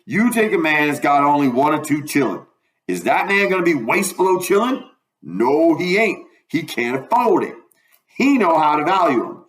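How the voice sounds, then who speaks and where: A man's voice: a little high, big pitch swings, normal speed, a bit bright, pitched above the performer's own voice. A man voicing a stubborn, uneducated runaway in a storybook reading, with a folksy Southern US dialect and an emphatic, argumentative manner. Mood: insistent. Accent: Southern US dialect, put on. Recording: roomy, echoing room.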